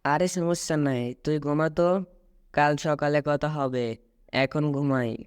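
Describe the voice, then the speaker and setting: A man's voice: on the high side, fairly even intonation, slow pace, clear, resonant tone, no echo, clean studio sound. A man playing an easygoing young traveller who shrugs off worries in a ghost-story cartoon. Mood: unbothered. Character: easygoing young male traveller, unbothered by spooky rooms.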